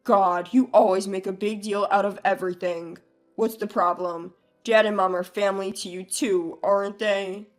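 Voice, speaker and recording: Woman doing a curt, domineering husband, pitched down. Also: steady tone, normal speed, soft background music, lightly acted. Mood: irritated, condescending. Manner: curt, scolding, condescending. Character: domineering, dismissive, selfish husband, adult man. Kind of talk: acted dialogue in a narrated family drama story.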